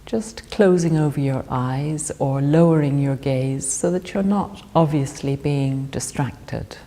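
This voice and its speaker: A woman leading a guided meditation in a calm, gentle, soothing manner. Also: a bass voice, measured pace. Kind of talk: guided body scan meditation.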